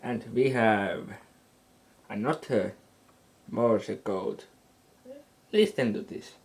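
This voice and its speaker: A man informally presenting a radio hobby demonstration, talking haltingly in short phrases with long gaps. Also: on the low side, vocal fry.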